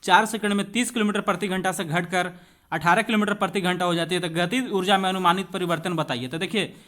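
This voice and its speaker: A man's voice: high voice, fairly even intonation, fast, fairly bright tone. A man teaching an exam-prep physics lesson, explaining conversationally with rhetorical questions to students and mixing in English terms. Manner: switches between Hindi and English terms.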